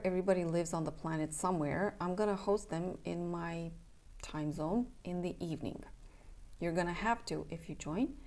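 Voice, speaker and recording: Earnest Romanian woman, low voice. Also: a little mellow. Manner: earnest, plainspoken, checks in with "okay?". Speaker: somatic coach. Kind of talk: casual announcement to camera.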